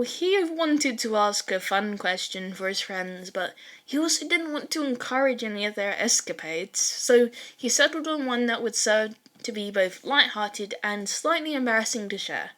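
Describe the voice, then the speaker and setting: A woman's voice: on the high side, animated, unhurried, fairly bright tone. A woman reading a fan fiction story aloud as scripted narration.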